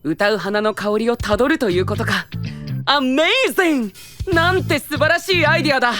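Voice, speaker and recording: Excitable young male knight, high voice, wildly enthusiastic, music underneath, fantasy voice drama. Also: brisk. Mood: exuberant. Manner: bombastic, excitable, drops in English exclamations. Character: exuberant, flamboyant young male knight, gushing admirer.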